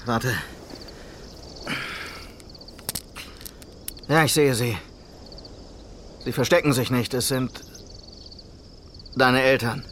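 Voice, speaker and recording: An actor playing a determined, authoritative Old West police officer in a German radio play. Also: male voice, medium pitch, fairly even intonation, slow pace, fairly bright tone, a little hiss or hum. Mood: terse, alert. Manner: authoritative, terse. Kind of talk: German radio play acting with narrated log.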